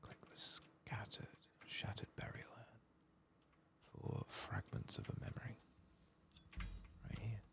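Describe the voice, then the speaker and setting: A man softly narrating a video game in ASMR style, murmuring and often slipping into a whisper. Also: on the low side, level tone, slow pace, vocal fry, pauses often. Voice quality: soft, often breaking into whisper. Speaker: ASMR gaming host. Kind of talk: soft-spoken and whispered ASMR game playthrough.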